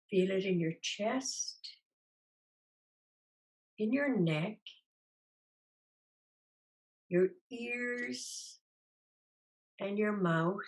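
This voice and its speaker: A woman leading a guided meditation as a teacher, gently instructing in a soothing, unhurried way with long pauses. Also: medium pitch.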